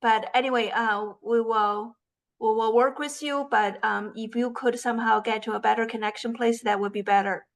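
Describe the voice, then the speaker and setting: A woman's voice: fairly high pitch, fairly even intonation, normal speed. A woman hosting an online class over a video call, welcoming students in an encouraging, lightly teasing way. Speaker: class host.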